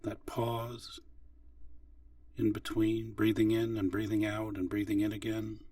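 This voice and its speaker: A man with a fairly low voice guides a meditation. He gives gentle, unhurried instructions and leaves long pauses between phrases. The sound is very dry, with the microphone a little way off. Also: monotone, no echo, far from the mic. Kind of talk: guided body scan meditation.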